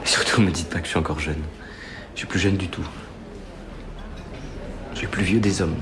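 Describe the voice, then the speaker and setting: A bitter self-loathing failure. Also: male voice, low-pitched, steady tone, normal speed, a crowd in the background. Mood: scornful. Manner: bitter, self-lacerating confrontation. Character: bitter man calling himself a washed-up failure. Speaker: character in a feature film. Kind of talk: film acting.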